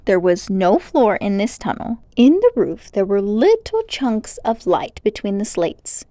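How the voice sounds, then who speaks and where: Woman, storybook narrator, expressive read-aloud, medium pitch, lively intonation, dry sound. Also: a little slow, no room sound.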